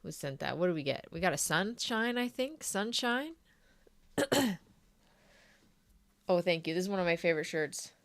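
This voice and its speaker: A young adult woman hosting a crochet live stream, chatty and friendly with viewers while sick with a sore throat, often clearing her throat. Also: fairly low pitch, expressive, moderate pace, long pauses. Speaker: crochet livestream host. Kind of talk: casual live stream chat.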